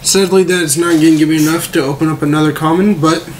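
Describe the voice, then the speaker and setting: A young man with a slightly hoarse voice, as if he is losing it, giving laid-back gameplay commentary with a mildly let-down tone. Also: fairly high pitch, fairly even intonation, quick pace, a little hiss or hum, rough recording. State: losing his voice. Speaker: gaming video creator.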